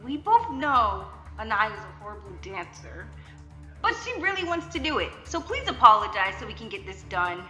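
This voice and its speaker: Woman as a bright, animated dance mom, echoey. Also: mid-range voice, normal speed, faint music behind. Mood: persuasive. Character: brisk, managing, placating dance mom. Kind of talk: amateur roleplay voice acting. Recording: echoey room.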